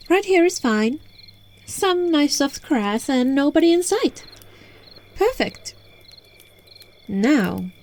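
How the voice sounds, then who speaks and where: Alluring witch played by a woman, highish lively voice, teasing, coaxing, ASMR roleplay, phone recording, background music. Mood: flirtatious, relaxed. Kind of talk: ASMR roleplay addressed to the listener.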